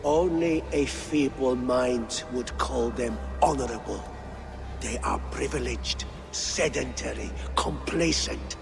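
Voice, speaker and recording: Pompous, creaky-voiced scheming villain lord. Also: male voice, medium pitch, over music. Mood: contemptuous. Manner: pompous, oratorical, measured. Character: grandiose scheming male villain, fanatical conspirator lord. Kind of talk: theatrical villain speech in a video game. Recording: clearly noisy game ambience.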